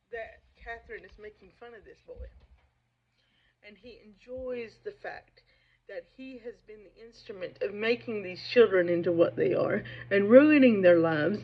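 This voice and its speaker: A woman giving informal literary commentary in an emphatic, opinionated and somewhat halting way. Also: medium pitch. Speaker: reader and commentator. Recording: dull, muffled lo-fi recording.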